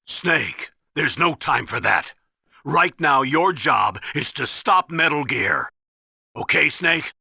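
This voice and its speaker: A man's voice: fairly high pitch, lively intonation, takes it slowly, gravelly, sharp tone, husky, dry recording, studio quality, heavily acted. A man playing a stern, commanding military colonel in a video game, firm and authoritative. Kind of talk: dramatic video game voice acting.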